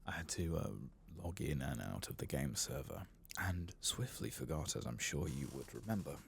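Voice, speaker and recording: A man giving soft-spoken ASMR commentary while gaming, murmuring and drifting into whispers. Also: a bass voice, vocal fry, slight background noise. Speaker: gaming streamer, ASMR host.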